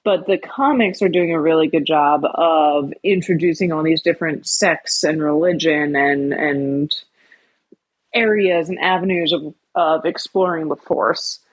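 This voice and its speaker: A woman's voice: sits low, unhurried, slightly rough, no echo, highs cut off. A woman co-hosting a podcast, talking casually and thinking aloud, often qualifying her points. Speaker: podcast co-host.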